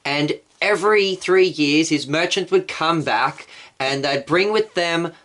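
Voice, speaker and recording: Male pastor, slow even storytelling voice, talking to kids about church history. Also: a little high. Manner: patient storyteller addressing children. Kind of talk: children's Bible story lesson.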